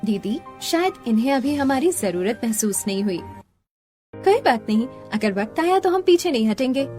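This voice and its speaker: A woman voicing a humble, gentle young daughter-in-law in a Hindi cartoon family drama, polite and earnest. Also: a little high, animated, normal speed, close to an everyday voice. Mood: polite, hopeful. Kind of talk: melodramatic Hindi cartoon story dialogue. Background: music.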